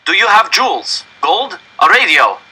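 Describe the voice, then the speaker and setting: A menacing military officer as a male audiobook reader plays him barks commands in a raised, hard, forceful voice. He is demanding and threatening. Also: high voice, big pitch swings, normal speed, husky, pitched above the performer's own voice, full character voice. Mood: harsh, demanding. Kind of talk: acted audiobook dialogue.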